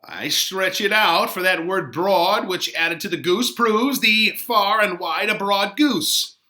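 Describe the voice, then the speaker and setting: A man reading dramatically as witty, boisterous young gentlemen trading teasing, emphatic Shakespearean banter. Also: high-pitched, slow, pitched above the performer's own voice, exaggerated voice. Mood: teasing, exuberant.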